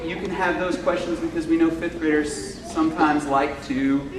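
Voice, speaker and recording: A man who teaches fifth grade science, speaking loudly and informally to parents at a school meeting, with many fillers. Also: a little high, fairly even intonation, normal speed, crowd noise. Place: school classroom or hall. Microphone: far camera microphone. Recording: echoey room, far from the mic, noisy.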